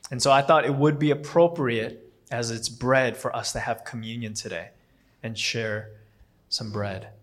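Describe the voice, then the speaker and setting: A man's voice: medium pitch, measured pace, a bit bright. A man, not a native English speaker, preaching a casual sermon in a rambling way, often stopping to correct himself. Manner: rambling, self-correcting, with frequent fillers and restarts.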